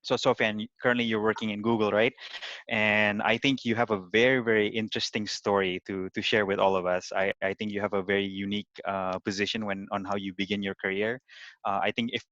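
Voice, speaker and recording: A man with a fairly low voice moderates an online panel and introduces speakers with a lot of "uh"s and repeated starts. The sound is dry and close, a little muffled, like a video call. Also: level tone, a little fast, fairly bright tone, dry recording. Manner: hesitant, many "uh"s and repeated starts. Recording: dry, dull lo-fi audio.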